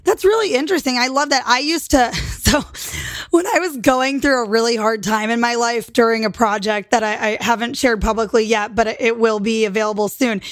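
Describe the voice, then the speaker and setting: Podcast host: an upbeat, encouraging woman, a bit high-pitched, casual with profanity, dry close-mic sound. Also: steady tone, fairly quick, no echo. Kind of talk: casual interview chat.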